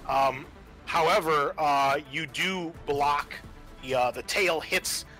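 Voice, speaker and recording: A man narrating a tabletop roleplaying game as game master, casually, pausing as he goes and reading out rules. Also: on the high side, bright, forceful tone, soft background music, rough recording.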